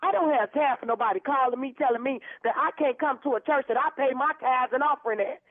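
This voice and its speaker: A woman's voice: high voice, quick pace, no echo. A woman speaking firmly and emphatically in an indignant phone conversation, heard over a narrow telephone line. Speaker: prank call target, church member.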